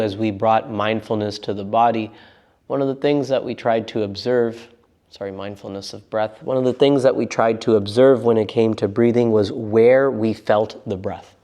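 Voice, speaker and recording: A man guiding a meditation as a teacher, speaking in a gentle, unforced and reassuring manner. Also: mid-range voice. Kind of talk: guided meditation instruction.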